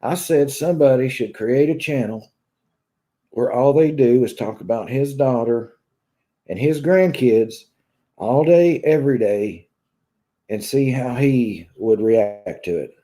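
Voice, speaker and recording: A man in his thirties with a long Southern drawl from Kentucky talks casually to his online viewers. His voice is very dark and mellow, and he sounds conversational, like someone venting from a room at home. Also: mid-range voice, unhurried. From Kentucky, United States. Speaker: YouTube vlogger. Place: small room at home, indoors.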